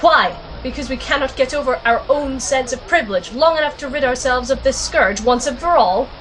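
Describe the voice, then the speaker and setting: The rebel heroine who narrates a fantasy radio serial, wry, defiant and high-voiced over faint noise a woman acting. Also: brisk, a bit bright, low-quality recording. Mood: urgent, defiant. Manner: wry, assertive. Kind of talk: first-person narration and audio drama acting.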